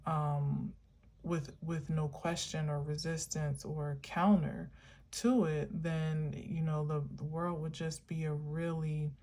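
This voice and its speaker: Low, slow-talking woman musing spiritually. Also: flat delivery, mellow. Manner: meandering, musing, many filler words; earnest, encouraging. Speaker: spiritual vlogger. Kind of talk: reflective spiritual monologue.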